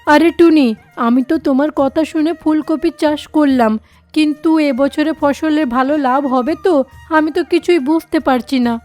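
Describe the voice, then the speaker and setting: A fussy cartoon kite who owns the farm, played by a woman. The voice is pitched high, fretful and demanding yet kindly, over a music bed. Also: normal speed, darkish tone, close to an everyday voice. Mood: worried. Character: fretful kite bird farm owner, demanding but generous. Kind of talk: children's cartoon voice acting.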